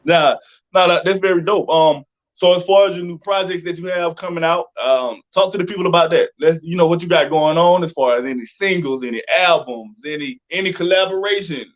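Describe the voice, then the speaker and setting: A man's voice: a little high, a little fast, muffled recording. An American man hosting an interview in a casual, slangy way, often repeating his phrases. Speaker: interview host.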